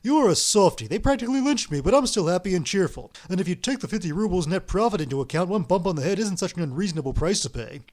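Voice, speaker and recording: A brash, cheeky young swindler from a storybook, put on by a male reader, loud, mocking and theatrical. The voice sits very high, well above the narrator's own, and each line is thrown out with a showman's swagger. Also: lively intonation, quick pace, few pauses. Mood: scoffing. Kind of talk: audiobook character voice.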